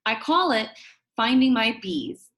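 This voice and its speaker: A woman reading a children's book as a shy, quirky, upbeat school kid, chummy and expressive with dramatic emphasis. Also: fairly high pitch, measured pace. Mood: chatty, upbeat. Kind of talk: first-person children's book narration.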